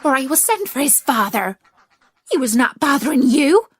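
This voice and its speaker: Woman acting a fierce mother duck, raised pitch. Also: very animated, moderate pace, no room sound. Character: fierce, protective mother duck defending her child. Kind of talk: storybook character voice.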